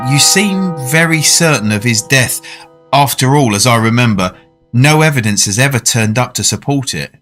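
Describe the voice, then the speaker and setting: An actor playing a polite, curious dinner guest who narrates a radio drama in a measured, thoughtful way. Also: male voice, mid-range voice, animated, fairly bright tone, lightly acted. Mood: puzzled, recalling. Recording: music under the voice.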